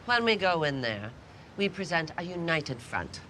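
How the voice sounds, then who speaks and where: A woman's voice: lowish voice, very animated, moderate pace, a bit bright, a little hiss or hum. A middle-aged woman playing an abrasive, sarcastic, domineering film actress in a period TV drama, hard-voiced, blunt and commanding. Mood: firm, demanding. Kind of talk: television period drama acting.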